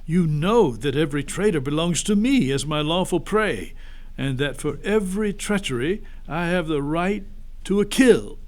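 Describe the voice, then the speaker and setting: A man voicing an imperious, menacing witch queen in a storybook, shrill and declamatory with grand emphasis. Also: animated, moderate pace, natural voice. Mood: shrill indignation.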